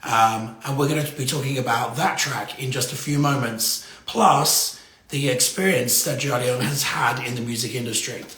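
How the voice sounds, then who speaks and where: Gravelly-voiced male host in an echoey room. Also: medium pitch, moderate pace, pushed and bright. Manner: effusive, exclaiming. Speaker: interview show host. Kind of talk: live online music interview, casual. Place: indoors, live social media stream.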